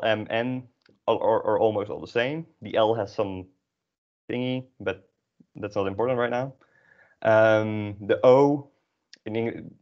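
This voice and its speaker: Low-voiced Dutch man teaching, muffled call audio. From Friesland, northern Netherlands. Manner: rambling, self-deprecating, hesitant. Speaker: language teacher. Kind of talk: informal online lesson, explaining off the cuff. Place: online video call. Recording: dull, lo-fi video call audio.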